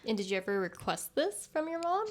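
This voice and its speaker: A woman's voice: on the high side, sing-song, fairly quick. A young woman from Vancouver whose first language was Cantonese, chatting easily and supportively as a co-host. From Vancouver, Canada. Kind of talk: casual chat.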